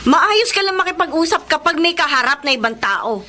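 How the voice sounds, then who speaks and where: A woman's voice: high-pitched, expressive, moderate pace, pushed and bright, a little hiss or hum. A woman with a Filipino accent playing an earnest, wronged but forgiving sister-in-law in an emotional radio drama, with music underneath. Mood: aggrieved.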